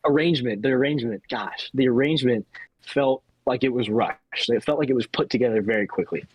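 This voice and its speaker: A man gives his opinion in a casual podcast chat. His voice is mid-pitched with a rough, husky texture. The sound is very dry. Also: fast, no room sound, poor audio. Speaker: podcast panelist. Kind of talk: casual discussion.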